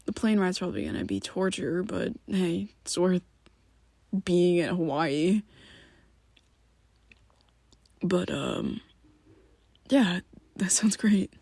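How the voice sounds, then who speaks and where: A woman's voice: fairly low pitch, animated, slow pace, creaky, darkish tone, husky, no room sound, exaggerated voice. A woman voicing a young male vampire best friend in an ASMR roleplay, who talks hesitantly and half-whispers with nervous stammers. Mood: chatty.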